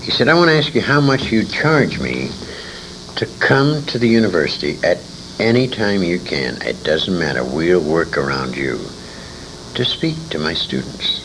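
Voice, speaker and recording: A man in his sixties telling stories in an interview, speaking emphatically and dramatically with pauses. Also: low-pitched, big pitch swings, fairly bright tone, rough recording. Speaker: interviewee, veteran radio actor. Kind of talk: conversational storytelling in an interview. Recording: hissy, humming 1980s amateur convention tape.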